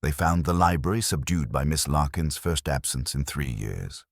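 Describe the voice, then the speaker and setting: A man reading a scripted mystery story as a narrator, in a measured, literary manner. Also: very deep voice, creaky, no room sound, clean studio sound. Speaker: audiobook narrator.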